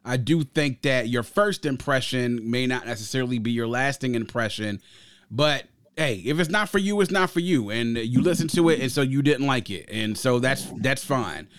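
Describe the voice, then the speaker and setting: A middle-aged American man hosting a casual podcast discussion, explaining his view in a measured, diplomatic way. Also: mid-range voice, lively intonation, dry recording. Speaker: podcast host.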